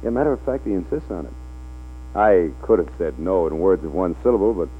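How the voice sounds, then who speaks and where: A shrewd, blunt movie mogul with a deep, lively voice, thinking aloud and deciding briskly. It is a vintage radio drama with narrow, tinny sound. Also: male voice, slight background noise. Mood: blunt, candid. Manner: gruff, musing, decisive. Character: blunt, shrewd male studio boss. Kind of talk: 1940s radio acting. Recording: humming, narrow-band 1940s radio recording.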